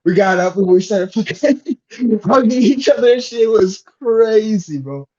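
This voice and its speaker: A man's voice: high-pitched, expressive, a little slow, a little mellow. A man chatting casually as a podcast panelist, slangy and sweary, heard through a somewhat lo-fi microphone. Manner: slangy, sweary, says "bro" and "you know" often. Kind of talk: casual chat.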